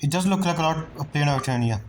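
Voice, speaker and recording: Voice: mid-range voice, lively intonation, fast talker, very noisy. A game streamer giving casual, blunt playthrough commentary and reacting aloud to the game. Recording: game music behind the voice.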